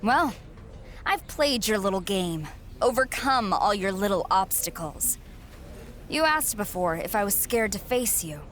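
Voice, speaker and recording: A woman's voice: medium pitch, lively intonation, measured pace, fairly bright tone. A woman voicing a confident young female wrestler in game cutscenes, talking in a cool, sarcastic, self-assured way. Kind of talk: video game cutscene dialogue.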